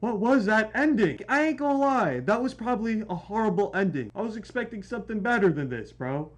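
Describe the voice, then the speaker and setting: A young man reacts casually as he plays along. His pitch moves around a lot, and he talks in slang with dramatic flair, often repeating his own phrases. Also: big pitch swings, a little fast. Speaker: gaming reaction host.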